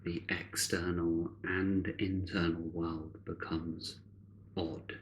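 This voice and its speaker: A man guiding a meditation in a measured, solemn, soothing manner, with long deliberate pauses between short phrases. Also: sits low, little pitch movement, a little hiss or hum, low-quality recording. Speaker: meditation guide. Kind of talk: guided meditation, spiritual narration.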